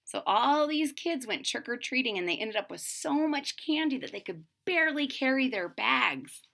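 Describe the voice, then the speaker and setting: A woman reading a picture book aloud to children in a dramatic way, pausing to put questions to young listeners. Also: high-pitched, very animated, vocal fry, pushed and bright.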